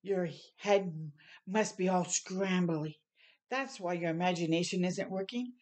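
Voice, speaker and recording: A woman's voice: lowish voice, measured pace, darkish tone, lightly acted. A woman voicing an imaginative, eager and slightly bossy little girl in a children's storybook, with an emphatic manner. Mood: matter-of-fact. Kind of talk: children's storybook read-aloud.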